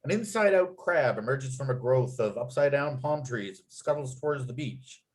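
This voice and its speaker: A man's voice: medium pitch, lively intonation, moderate pace, darkish tone. A man narrating a comedy screenplay at a table read, reading out scene headings and action with punchy delivery.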